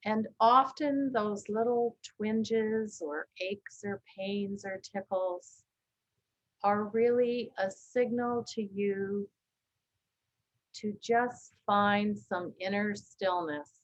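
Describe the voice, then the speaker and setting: A woman leading a guided body scan meditation, speaking gently and calmly with long, unhurried pauses. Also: medium pitch, dull audio. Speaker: meditation teacher.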